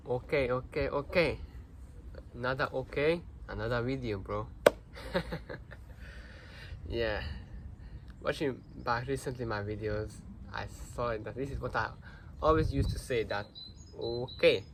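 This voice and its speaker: A man's voice: mid-range voice, a little slow. A teenage boy with Hungarian as his first language, talking earnestly to camera in a rambling vlog, full of fillers and restarts, recorded without a proper microphone. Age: teens. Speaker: vlogger. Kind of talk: casual self-reflective talk to camera. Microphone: no microphone, built-in device mic. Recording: noisy built-in mic recording.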